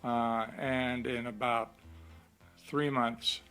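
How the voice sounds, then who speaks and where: An older man recalling local history in an interview, deliberate and halting, pausing between phrases. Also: medium pitch, little pitch movement, pushed and bright, soft background music. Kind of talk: reflective interview recollection.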